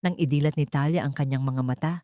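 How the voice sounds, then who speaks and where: A woman's voice: low-pitched, steady tone, fast, dark tone, dry recording, clean studio sound. A woman narrating a scripted dramatic audio story in a solemn, emotive manner.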